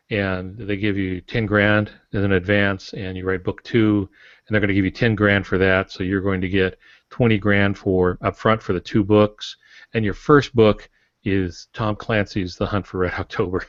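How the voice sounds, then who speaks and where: Low-voiced man talking shop, dull audio. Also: level tone, normal speed. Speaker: guest author on a publishing podcast. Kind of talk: conversational explanation. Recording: roomy, dull lo-fi podcast audio.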